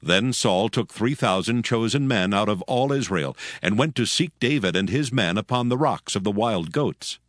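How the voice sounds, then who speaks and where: A man giving scripted narration of dramatized scripture in a solemn, measured storytelling manner. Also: fairly low pitch, expressive, no room sound, studio quality. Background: faint background music.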